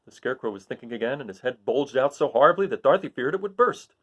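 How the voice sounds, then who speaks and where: A man reading a children's storybook aloud as narrator, in an expressive storytelling style. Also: mid-range voice, fast, husky, highs cut off. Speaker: storybook reader.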